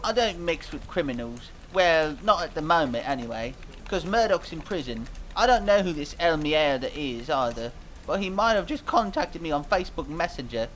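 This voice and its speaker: A man's voice: fairly high pitch, lively intonation, moderate pace, fairly bright tone, a little hiss or hum, rough recording. A man voicing a dim, earnest cartoon pop singer, rambling vaguely through a mock interview. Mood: puzzled, rambling. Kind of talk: mock interview fan dub.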